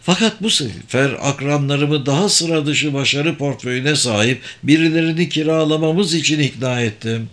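A man's voice: fairly high pitch, normal speed, hardly any pauses, a bit bright, close to an everyday voice. A man voicing a superior, persuasive sorcerer in an audiobook, speaking formally and insistently. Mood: explaining, assured. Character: persuasive, superior sorcerer who runs secret political games.

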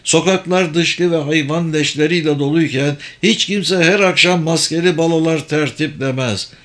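A man's voice: fairly high pitch, normal speed, fairly bright tone, pitched above the performer's own voice, lightly acted. A man voicing a superior, persuasive sorcerer in an audiobook, speaking formally and insistently. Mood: explaining, assured. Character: persuasive, superior sorcerer who runs secret political games.

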